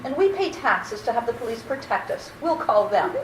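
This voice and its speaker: A woman acts out a prim old aunt from a radio comedy-thriller. Her voice is high and swoops with fussy, matter-of-fact indignation. The recording is roomy, with faint noise. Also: very animated, normal speed. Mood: fussy, scolding. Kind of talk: old-time radio play acting. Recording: roomy, slightly noisy amateur recording.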